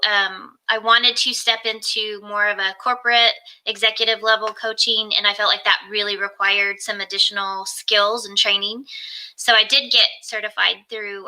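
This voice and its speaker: A soft-spoken woman talking earnestly as a guest coach on a casual video call from home. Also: mid-range voice, poor audio.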